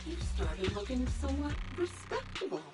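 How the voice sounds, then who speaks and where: A sadistic villainess from a video game, her highish voice swinging wildly up and down. She taunts with sugary, theatrical mock politeness, and music plays behind her. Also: sing-song, moderate pace, recorded from a distance. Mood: sneering, amused. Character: Grim Vulture, gloating sadistic female sniper villain, theatrically taunting.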